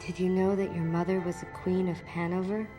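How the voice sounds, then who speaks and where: An actress playing a calm, compassionate woman in a video game, speaking gently and solemnly. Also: on the low side, brisk, loud music behind the voice, close to an everyday voice. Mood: solemn, gentle. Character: calm, compassionate woman consoling a restless spirit. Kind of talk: dramatic video game dialogue.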